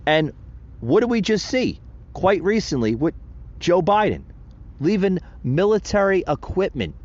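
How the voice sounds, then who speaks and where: Impassioned man with a lively, high voice. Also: a little slow, dull audio. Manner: impassioned, emphatic, addresses listeners as folks. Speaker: podcast host. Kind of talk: solo political commentary.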